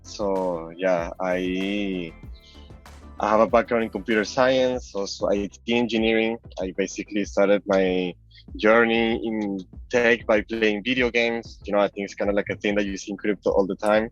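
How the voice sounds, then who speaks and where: A man's voice: on the low side, steady tone, normal speed. A man from Venezuela with a Venezuelan Spanish accent, chatting casually and rambling as an interview guest, peppering his talk with filler phrases. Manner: rambling, heavy use of "like" and "you know". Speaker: interview guest, crypto app designer. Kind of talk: casual chat. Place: online call. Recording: dull lo-fi call audio, music behind.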